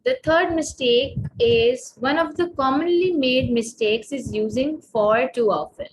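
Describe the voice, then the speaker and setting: A young woman with a Pakistani accent, a native Urdu speaker, giving a measured grammar presentation with frequent pauses. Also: high voice, slight background noise, low-quality recording. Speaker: student presenter.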